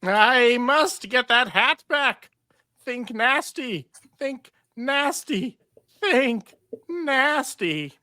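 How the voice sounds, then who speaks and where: A woman's voice: sits high, animated, drawn-out words, sharp tone, clean studio sound, heavily acted. A woman voicing a scheming, petulant villain magician in a cartoon script reading, whiny and drawn out, in a roomy recording. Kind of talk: cartoon table read.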